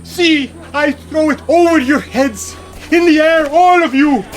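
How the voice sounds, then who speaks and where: A man's voice: very high voice, soft background music. A man acting an old, desperate peasant father in a radio drama, pleading and chanting imploringly. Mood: frantic pleading. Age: older. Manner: imploring, slow, incantatory.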